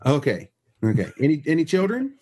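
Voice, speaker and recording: A man's voice: fairly high pitch, huge pitch swings, brisk, a little mellow, breathy edge. A man hosting a podcast, chatting casually in a rambling way with frequent restarts. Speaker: podcast host. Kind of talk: casual chat.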